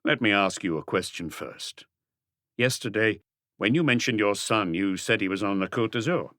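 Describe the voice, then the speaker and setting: A calm police inspector, acted by a male narrator in a detective audiobook. His voice is lowish and ironic, with probing questions, recorded very dry. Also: normal speed, no echo, close to an everyday voice.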